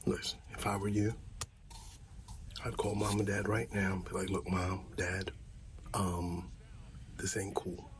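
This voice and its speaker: A man doing a casual ASMR roleplay as an easygoing, supportive friend, talking softly in a laid-back buddy way. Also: low-pitched, monotone, measured pace, pauses often, a little hiss or hum. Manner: soft-spoken, half-whispered, laid-back buddy talk.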